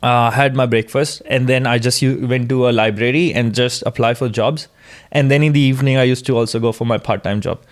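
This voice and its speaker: A man's voice: mid-range voice, fairly even intonation, fairly quick. A young man co-hosting a casual podcast, giving informal, encouraging advice. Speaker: podcast co-host.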